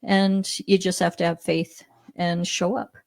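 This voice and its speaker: A middle-aged Canadian woman telling her story as an interview guest, animated, often laughing, with many restarts. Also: on the low side, measured pace, vocal fry, dry recording, studio quality. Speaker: podcast guest.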